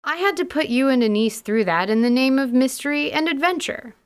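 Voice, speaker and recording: A woman's voice: high voice, animated, a little fast, clean tone. A woman hosting as a dungeon master, narrating a scripted podcast in an articulate, slightly wry way.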